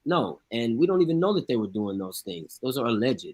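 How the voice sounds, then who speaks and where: A man's voice: medium pitch, very animated, fairly quick, a little mellow. A man with an ordinary mid-range voice arguing his point on a talk show. He presses hard with rhetorical questions and blunt, emphatic statements. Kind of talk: casual radio talk show.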